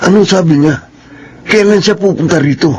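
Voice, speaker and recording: An actor playing an intense, emotional criminal in a melodramatic radio drama. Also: male voice, sits high, a little hiss or hum, natural voice. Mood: anxious, pleading. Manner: intense, emotional, drawn-out exclamations. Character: lustful, menacing attacker, later remorseful prisoner.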